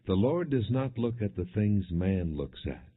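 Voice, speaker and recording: Deep-voiced man reading scripture, tinny audio. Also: expressive, unhurried. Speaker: audiobook narrator. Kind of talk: scripted scripture narration, non-dramatized. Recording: muffled, phone-like narrow-band audio.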